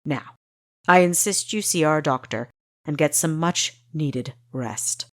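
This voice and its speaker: A woman voicing an amused, evasive male spy leader with a deep, gritty voice for an audiobook. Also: takes it slowly. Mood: smug, evasive.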